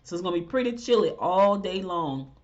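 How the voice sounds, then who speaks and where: A woman hosting a podcast, chatting in a rambling, animated way. She sounds congested, with a stuffy nose. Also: on the low side, brisk, lo-fi sound. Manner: rambling, animated, talks to listeners directly. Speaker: podcast host. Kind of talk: casual chatty monologue.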